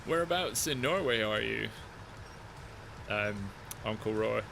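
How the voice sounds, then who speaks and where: Male game streamer, relaxed chatter, gravelly edge, engine noise behind. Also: fairly low pitch, a little slow. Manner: relaxed, chatty, answers chat between driving comments. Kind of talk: casual live-stream chat while playing.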